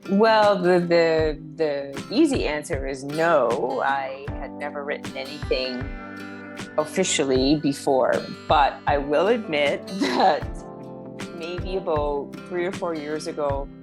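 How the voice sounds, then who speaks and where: A middle-aged woman chatting casually as a guest, speaking in a hesitant, halting way. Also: sits low, music in the background. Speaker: guest author. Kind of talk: casual chat, reminiscing.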